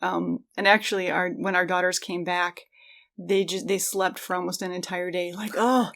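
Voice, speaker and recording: A woman's voice: on the low side, level tone, moderate pace, creaky, a bit bright. A woman co-hosting a podcast, chatting in a casual, informal, conversational way. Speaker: podcast co-host.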